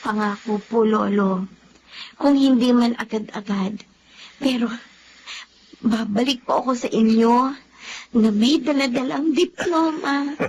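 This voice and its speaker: Shy young Filipina mother, radio drama; earnest, grateful, higher register. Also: a little slow, pauses often, noisy background.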